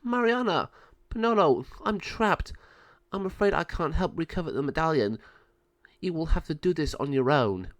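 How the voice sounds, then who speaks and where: A man's voice: on the high side, animated, moderate pace, no echo, pitched above the performer's own voice, natural voice. A man voicing an earnest, protective sorceress who speaks firmly and urgently. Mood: solemn. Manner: firm, urgent. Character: earnest wise sorceress, protective elder sister.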